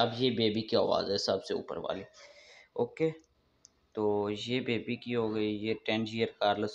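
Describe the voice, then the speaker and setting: A man with a fairly low voice talks viewers through an app, step by step. He speaks casually, as a channel host would to friends. The sound is dull and lo-fi. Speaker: host of a tech tips channel. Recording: dull, lo-fi voice-over recording.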